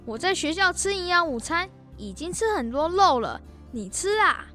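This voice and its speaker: A woman's voice: very high-pitched, very animated, moderate pace, pushed and bright, soft background music, full character voice. A young female performer playing a responsible, earnest boy, the elder brother, in a children's radio drama. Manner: earnest, considerate.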